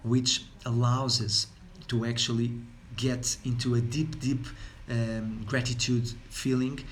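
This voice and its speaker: A man's voice: mid-range voice, level tone, takes it slowly, slight background noise. A man from Portugal with a Portuguese accent, speaking about well-being in an unscripted talk, rambling along with many fillers and repeated words. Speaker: wellness speaker.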